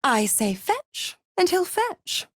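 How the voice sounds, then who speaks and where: A woman's voice: very high voice, very animated, slow, no room sound, clean studio sound, exaggerated voice. An actress playing a seductive, gloating female devil in a video game, purring with theatrical, mocking condescension and savoring her words. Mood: mocking, scheming. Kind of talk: scripted video game character acting.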